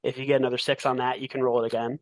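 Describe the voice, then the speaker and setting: A man running a tabletop roleplaying game, narrating haltingly and thinking aloud as he goes. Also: mid-range voice, flat delivery, dry recording. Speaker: game master.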